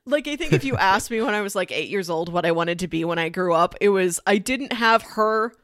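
A woman's voice: mid-range voice, expressive, brisk, fairly bright tone, no room sound, studio quality. A woman co-hosting a podcast, chatting casually and enthusiastically as she analyses films. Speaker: podcast co-host. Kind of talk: casual film discussion chat.

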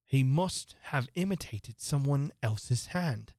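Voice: medium pitch, expressive, slow pace, darkish tone, no room sound, studio quality. A reader as a pompous, emphatic storybook king. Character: pompous, blustering, muddled storybook king acting as judge.

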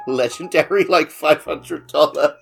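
A man's voice: on the high side, measured pace, gravelly, a bit bright. Casual male podcast host over music. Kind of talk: casual chat.

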